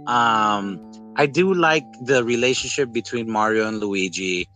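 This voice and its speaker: A man in a casual, rambling podcast-style chat reviewing a film, with animated retellings. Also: fairly low pitch, unhurried, soft background music. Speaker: podcast host.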